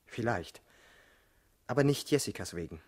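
An actor playing a troubled, doubting male political assassin in a German radio play, with a husky voice and a brooding, earnest delivery. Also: fairly low pitch, animated, moderate pace, a little mellow. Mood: defensive, agitated.

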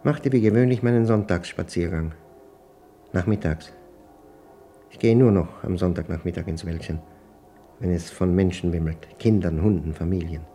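An actor playing a brooding, suspicious old widower who speaks a halting, introspective diary monologue in a German radio play. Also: male voice, low-pitched, warm tone, faint music behind, close to an everyday voice. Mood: uneasy, confiding. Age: older. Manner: halting, deliberate, broken phrases.

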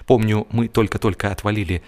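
A man's voice: fairly low pitch, fairly even intonation, fast, a little mellow. A man narrating a humorous story expressively, in an ironic, mock-serious manner.

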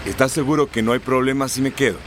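Deferential engineer played by a man, medium pitch. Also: steady tone, fast talker, background noise, close to an everyday voice. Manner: formal, polite. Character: deferential, cautious engineer serving a corrupt boss. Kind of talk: radio drama acting.

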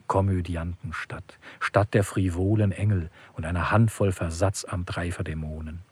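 Solemn husky man, low voice. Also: no echo. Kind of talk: poetic recitation in a radio play.

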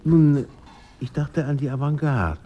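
An actor playing a restless literary man in a radio drama, polite and measured while he argues. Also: a little high, dull audio, close to an everyday voice. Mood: contrary.

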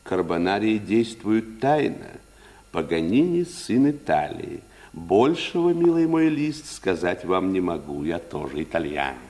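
Grave guarded gentleman, deep voice, discreet, measured, 1950s Soviet radio drama, long reverb. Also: lively intonation. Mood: guarded, solemn. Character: grave, guarded Italian gentleman confiding a secret.

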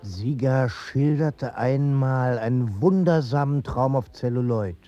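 An actor playing a wry storyteller, narrating measured anecdotes in a German radio play. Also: male voice, medium pitch, lo-fi sound, loud music behind the voice, close to an everyday voice.

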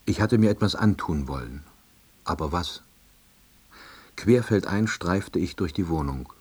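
A melancholy, jobless husband in a radio drama. His voice is very low and slightly husky, and he narrates in short, deadpan diary sentences full of dry self-pity. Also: a little slow, heavily acted. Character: lonely, melancholy, laid-off East German husband, drily self-pitying. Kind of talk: scripted first-person narration.

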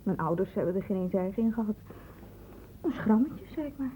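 A woman's voice: mid-range voice, expressive, normal speed, mellow, close to an everyday voice, rough recording. A young woman playing a timid, polite student in a Dutch radio play, hesitant and deferential. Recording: faintly hissy old radio recording.

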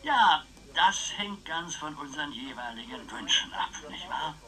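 An actor playing an eccentric, scheming older uncle in a radio crime drama, with a sly, theatrical, musing way of talking. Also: male voice, fairly high pitch, lively intonation, a little slow, pushed and bright, breathy edge, heavily acted, poor audio. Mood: musing, grandiose. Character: eccentric, scheming old uncle, grandiose and philosophical.

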